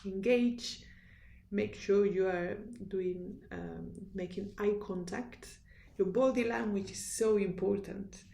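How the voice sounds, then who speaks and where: A woman with a strong Spanish accent gives a university lecture in an earnest, instructive way. Her voice has a gravelly fry and a mellow, relaxed tone. The room around her is echoey. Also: mid-range voice, slow. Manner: earnest, instructive, with restarts and fillers. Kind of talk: recorded lecture.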